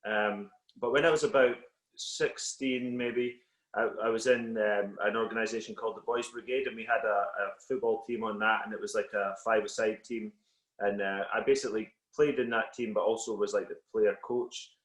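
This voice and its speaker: A Scottish man whose accent has softened a little, a football coach talking as a guest on a video call in a relaxed, reflective way with many fillers. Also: lowish voice, normal speed, roomy sound, low-quality recording. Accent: Scottish, slightly Americanised. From Scotland. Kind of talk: casual interview on a call.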